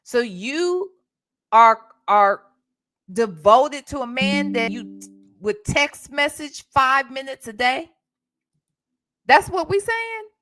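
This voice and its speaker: A woman's voice: high-pitched, sing-song, slow pace, long pauses, fairly bright tone. An American woman hosting a casual live reaction show, blunt, sassy and profane, with emphatic rhetorical questions. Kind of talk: casual live commentary with chat.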